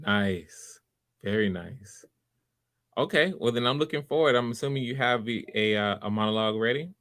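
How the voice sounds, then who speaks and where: A man hosting a live audition stream in a casual, halting way, often repeating words as he thinks aloud. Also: mid-range voice, fairly bright tone. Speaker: host running a live voice audition.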